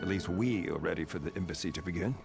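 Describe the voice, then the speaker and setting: An actor playing a haughty, rule-bound military commander in a video game, stern and dismissive. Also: male voice, low-pitched, fast talker, gravelly. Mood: disdainful. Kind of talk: video game cutscene acting. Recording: music behind the voice.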